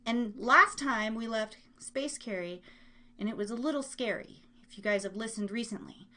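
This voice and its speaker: A woman's voice: fairly high pitch, moderate pace, pushed and bright. A woman hosting a casual live online show, chatting in a playful, rambling way and teasing herself.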